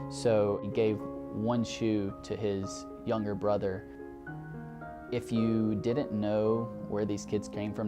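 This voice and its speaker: A young Southern man with a fairly low voice speaks in an earnest, reflective interview style, sprinkling his story with "like" and "you know". Clear music plays behind him. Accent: Southern American. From Alabama, United States. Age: young adult. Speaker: graduate counseling student.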